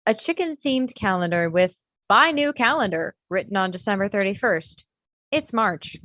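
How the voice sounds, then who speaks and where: A woman's voice: medium pitch, animated, normal speed, a bit bright, no room sound, muffled recording. A woman narrating stage directions in a scripted comedy screenplay reading.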